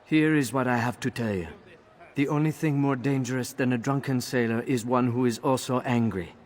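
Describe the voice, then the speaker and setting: An actor playing a roguish, wry carouser in a video game, talking in a wordy, mock-respectful way. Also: male voice, medium pitch, steady tone, normal speed, a little mellow, close to an everyday voice. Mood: wryly sage. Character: roguish, wry carousing man seeking help. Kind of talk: scripted game cutscene acting.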